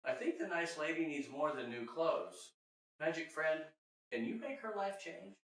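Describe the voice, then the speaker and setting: A man's voice: on the high side, expressive, unhurried, fairly bright tone. A man voicing a dreamy, innocent four-year-old girl in a rhyming children's story. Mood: hopeful, innocent. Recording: very echoey room, distant mic.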